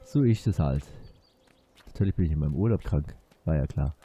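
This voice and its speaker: A man's voice: very deep voice, a little fast, a little hiss or hum. A man hosting a let's play with a stuffy nose and a sore throat, which makes his voice nasal, chatting casually over gameplay. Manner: relaxed, chatty.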